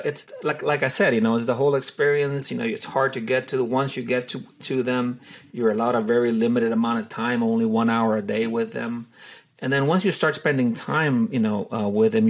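Middle-aged man from Honduras, gravelly voice, unhurried and full of fillers, an easygoing interview guest. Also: medium pitch. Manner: hesitant, many fillers and restarts. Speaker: wildlife photographer and tour guide. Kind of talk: relaxed interview answers.

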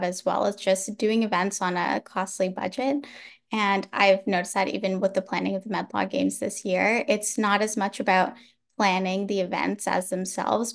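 A woman's voice: medium pitch, gravelly. A young woman answering a debate question as a student candidate, explaining her ideas conversationally. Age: young adult.

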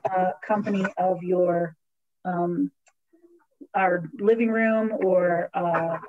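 A woman's voice: medium pitch, darkish tone. Hesitant woman hosting a book talk. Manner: hesitant, many fillers. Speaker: book club host. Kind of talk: online author interview. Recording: dull lo-fi audio.

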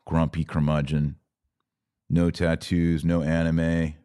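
Deep-voiced man in his forties, blunt. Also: flat delivery, slow, warm tone, clean tone, no room sound, clean studio sound. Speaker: podcast host. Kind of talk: casual livestream talk, reading and answering viewer comments.

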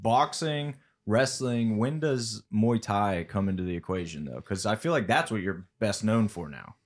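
A man's voice: on the low side, animated, moderate pace. A man in his early thirties hosting a podcast interview in a friendly, conversational way. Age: 30s. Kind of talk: casual interview.

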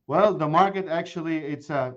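A man's voice: fairly high pitch, moderate pace, dull audio. Man in his forties telling stories. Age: 40s. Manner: animated storyteller with frequent restarts. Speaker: guest, mortgage broker. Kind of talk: casual interview chat.

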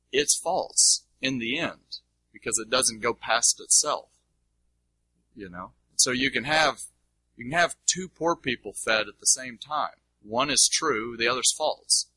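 A man's voice: medium pitch, expressive, measured pace, bright, forceful tone, husky, no echo, poor audio. A man teaching in a question-and-answer session, speaking in an informal, discursive way with rhetorical questions.

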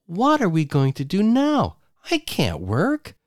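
A man voicing a shrill, furious young wife and mother who screeches accusations at her husband. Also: huge pitch swings, slow pace, no room sound, clean studio sound, heavily acted.

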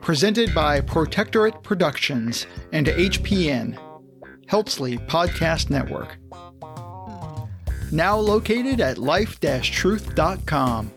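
Slow, animated announcer over guitar music. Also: medium pitch. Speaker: podcast announcer. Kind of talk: scripted intro announcement.

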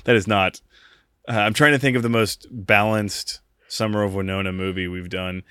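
A man's voice: on the low side, fairly even intonation, moderate pace, a bit bright, a little hiss or hum. A man co-hosting a podcast, chatting casually and jokingly, rambling with frequent laughs. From United States. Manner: rambling, jokey, laughs often. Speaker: podcast co-host.

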